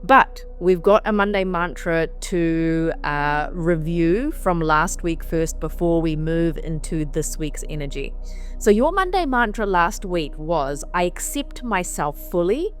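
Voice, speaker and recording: A woman's voice: on the low side, expressive, measured pace, faint music behind. A woman hosting a weekly spiritual mantra talk in a casual, meandering way. She sounds slightly nasal and sniffly from allergies and repeats phrases for emphasis. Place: on the road while traveling.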